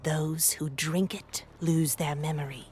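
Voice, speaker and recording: An actress playing a solemn, learned head of a clan of chroniclers in a video game, speaking in a measured, formal storytelling way. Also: female voice, sits low, fairly even intonation, darkish tone, slightly rough. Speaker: clan historian and leader. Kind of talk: video game cutscene acting.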